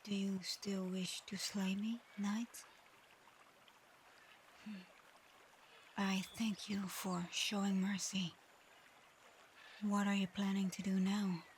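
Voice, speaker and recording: A woman plays a proud dragon girl in human form, guarded and easily flustered. She speaks formally and slowly, in a narrow, even line that can catch into shy stammers. It is an intimate ASMR roleplay, with clear ambient noise behind the voice. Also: medium pitch. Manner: halting, stammering when flustered, otherwise measured and formal. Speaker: audio roleplay performer.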